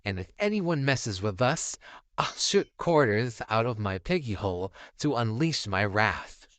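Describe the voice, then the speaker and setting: A man's voice: on the low side, sing-song, unhurried, fairly bright tone, dry recording. A man voicing a bold, crude toy piggy bank in a cocky, reassuring manner.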